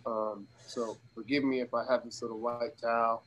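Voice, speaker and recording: A male interview guest from Las Vegas speaks in a mid-pitched voice while ill with pneumonia. His talk is casual and slangy, halting as it goes, and he repeats words for emphasis. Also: flat delivery, a bit bright, slight background noise, low-quality recording. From Las Vegas, USA. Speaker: guest, socialist activist.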